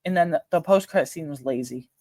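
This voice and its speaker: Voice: mid-range voice, fast talker, darkish tone, no echo, clean studio sound. A video host giving casual, rambling film commentary to viewers, emphatic and slangy with frequent asides.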